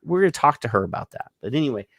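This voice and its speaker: A man's voice: medium pitch, very animated, very fast, creaky, a bit bright, dry recording, clean studio sound. A man hosting a casual podcast, rambling and joking with many restarts. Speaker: show host.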